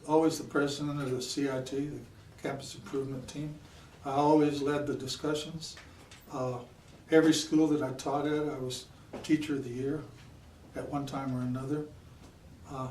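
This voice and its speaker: A man's voice: mid-range voice, a little mellow. A plain-spoken man answering at a public forum in short, choppy statements. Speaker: school board member, former teacher and applicant. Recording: echoey room, distant mic, faint noise.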